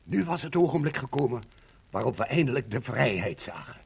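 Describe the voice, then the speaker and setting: An actor playing a lyrical Dutch witness to liberation, declaiming loftily in a 1950s radio docudrama. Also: male voice, medium pitch, big pitch swings, a little fast, gravelly, a little mellow, slightly rough, full character voice. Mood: solemn. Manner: lofty, poetic. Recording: very noisy vintage 1950 radio recording.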